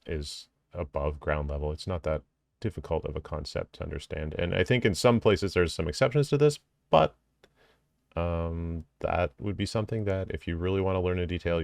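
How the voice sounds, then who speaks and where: A man's voice: very deep voice, vocal fry, darkish tone, dry recording. A man explaining drone rules in a casual video, thinking aloud with many ums and self-corrections.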